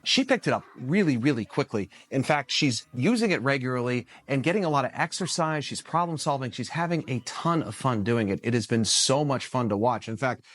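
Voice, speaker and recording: A man in his thirties, a stay-at-home dad, reviewing a toy in a chatty, upbeat way, his voice husky from a cold. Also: mid-range voice, expressive, fairly quick, hardly any pauses, a bit bright, no room sound. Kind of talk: casual product review to camera.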